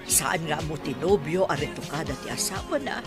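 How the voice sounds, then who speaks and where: A woman's voice: low-pitched, animated, brisk, over loud music. A woman playing a chummy, gossipy cosmetic surgeon in an Ilocano radio drama. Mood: conspiratorial.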